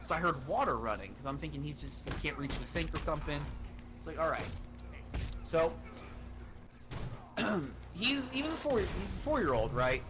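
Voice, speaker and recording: A man telling a story in an animated, casual way, swearing and sounding exasperated as he goes. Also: on the high side, moderate pace, long pauses, background noise. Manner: profane, exasperated, uses acted-out dialogue.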